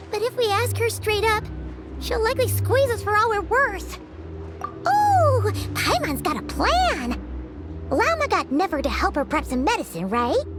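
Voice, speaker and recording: A woman voicing a chirpy, excitable little fairy girl companion in a video game, bubbly and theatrical. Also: extremely high pitch, exaggerated voice. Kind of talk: animated video game dialogue.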